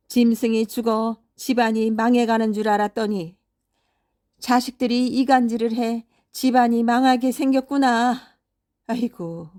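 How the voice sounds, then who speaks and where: A woman's voice: fairly high pitch, darkish tone, pitched above the performer's own voice, natural voice. A woman voicing an enraged old father in a storybook tale, ranting and lamenting as he scolds his sons. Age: older. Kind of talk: storybook character voice.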